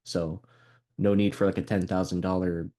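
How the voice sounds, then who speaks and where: A young man player chatting casually in a calm, soothing way, with many hesitant fillers. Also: sits low, moderate pace. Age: young adult. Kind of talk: casual chat.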